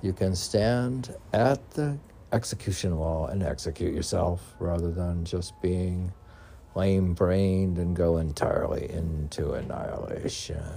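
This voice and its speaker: Low, dark-voiced man musing poetry. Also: unhurried, creaky, a little hiss or hum. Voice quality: rambling, musing delivery with chuckles between lines. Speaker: poet reading his own poems. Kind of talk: meditative poetry recitation mixed with casual home chat. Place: home room with windows.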